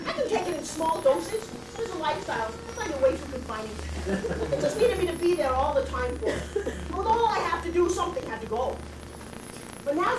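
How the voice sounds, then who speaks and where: A woman doing a raspy, brash bag lady. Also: very high voice, roomy sound, distant mic, heavy background noise. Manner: confrontational, addresses passersby directly. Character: eccentric brash homeless bag lady, philosophical. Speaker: actress performing a stage monologue. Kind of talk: theatrical comic monologue.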